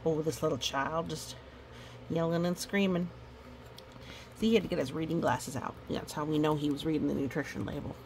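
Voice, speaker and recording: A woman's voice: deep voice, fairly even intonation, fairly quick, a little mellow, slight background noise, low-quality recording. A woman reading a picture book aloud with playful, chatty asides to the characters and listeners. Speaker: storytime reader.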